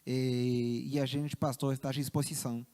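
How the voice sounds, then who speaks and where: A man with a Chilean accent speaks earnestly to a congregation, halting and repeating words as he goes. His voice is mellow and dark, at a middle pitch. The sound carries a long hall reverb. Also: little pitch movement. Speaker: missionary. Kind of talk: church address.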